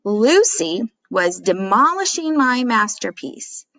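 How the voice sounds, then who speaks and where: A chatty storybook dad read by a woman spins a self-mocking childhood tale with dramatic emphasis. The pitch is an ordinary woman's, and the recording is dry and close. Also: sing-song, takes it slowly, a bit bright, dry recording, full character voice. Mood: dramatic dismay.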